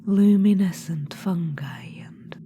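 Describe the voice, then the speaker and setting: A woman voicing a haughty ancient witch-queen trapped in an orb, speaking in a soft, regal, condescending whisper for an ASMR roleplay. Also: fairly low pitch, slow pace, slight background noise.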